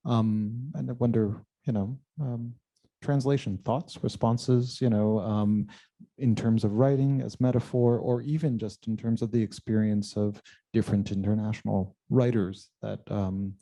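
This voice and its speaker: Male literary moderator. Deep-leaning, very dark tone, informal and halting. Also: fairly even intonation. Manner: halting, thinking aloud. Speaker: interviewer, moderator. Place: live library event.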